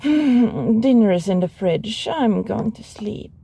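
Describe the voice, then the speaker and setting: A woman playing a sullen, possessive animal hybrid roommate in an ASMR roleplay, grumbling in a sardonic, husky voice. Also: medium pitch, animated, slow, mellow, exaggerated voice. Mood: sullen, reproachful. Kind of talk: ASMR audio roleplay acting.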